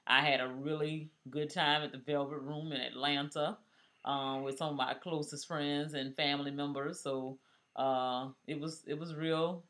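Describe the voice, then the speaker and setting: A man in his forties co-hosting a podcast in casual chat, wry and teasing with a drawn-out delivery. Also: on the high side, little pitch movement, sharp tone. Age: 40s. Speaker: podcast co-host.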